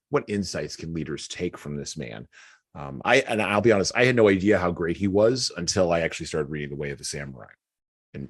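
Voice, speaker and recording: Podcast host, male, very deep and breathy tone, reflective with lots of ums. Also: dry recording. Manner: thoughtful, filler-heavy with ums. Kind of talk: casual discussion.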